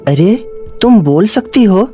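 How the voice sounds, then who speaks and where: A woman's voice: medium pitch, expressive, takes it slowly, mellow, soft background music. A woman voicing a kind, humble working boy, an earnest older brother in a cartoon story. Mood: concerned.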